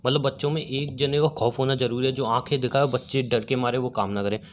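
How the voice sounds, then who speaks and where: A man's voice: medium pitch, steady tone, a little fast, slight background noise. A young man chatting casually on a recorded phone call, teasing and questioning his partner. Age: young adult. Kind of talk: casual couple's chat.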